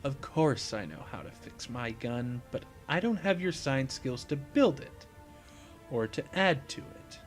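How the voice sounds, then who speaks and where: Man playing a slow, cool, calculating criminal. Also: medium pitch, animated, faint music behind, lightly acted. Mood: measured. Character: cool, calculating male criminal, controlled and smug.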